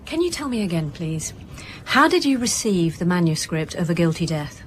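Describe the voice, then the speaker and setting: An actor playing a female detective chief inspector in a radio drama, questioning in a measured, politely sceptical way. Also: lowish voice, sing-song, a little hiss or hum. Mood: probing. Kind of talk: naturalistic radio drama acting.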